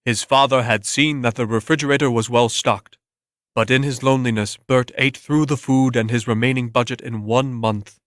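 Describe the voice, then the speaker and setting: A man's voice: mid-range voice, steady tone, moderate pace, a bit bright, no echo. Man narrating a dramatic story, dry sound.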